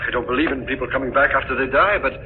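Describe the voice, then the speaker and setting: An actor playing a wary, formal estate executor in an old-time radio mystery, with a guarded, questioning manner. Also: male voice, mid-range voice, rapid-fire, phone-quality sound, natural voice. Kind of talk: 1940s radio mystery acting. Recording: noisy, thin old radio recording.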